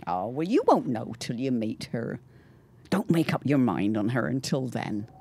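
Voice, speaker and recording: Low, husky, animated gossipy mother. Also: measured pace, full character voice. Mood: gently probing. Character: chatty, gossipy, gently reassuring middle-aged mother. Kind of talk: period audio drama acting.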